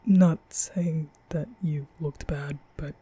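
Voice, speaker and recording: A man playing a nervous, gentle young vampire in an intimate ASMR roleplay, speaking hesitantly in a breathy half-whisper. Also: a little high, steady tone, exaggerated voice. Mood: teasing. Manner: hesitant, stammering, slow.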